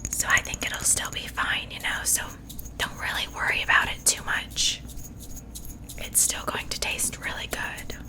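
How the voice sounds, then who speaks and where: Woman acting a witch, ASMR roleplay, whispered, soothing, unhurried, falsely reassuring, quietly menacing. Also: natural voice. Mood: soothing, gleeful. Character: sweetly menacing witch seasoning her captive for stew.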